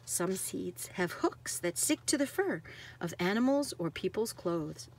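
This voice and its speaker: A woman's voice: fairly low pitch, very animated, measured pace, a little mellow, a little hiss or hum, rough recording. A woman reading a nonfiction picture book aloud to children like a teacher, stressing key words.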